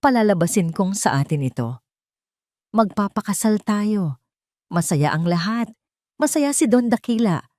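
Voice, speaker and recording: Audio drama villainess, played by a female narrator: Filipino accent, obsessive and bitter, emphatic, raised pitch, dry sound. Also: expressive, measured pace, pauses often, a little mellow, dry recording, close to an everyday voice. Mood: cold, boastful. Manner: emphatic, theatrical. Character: scheming, obsessive, bitter woman in love.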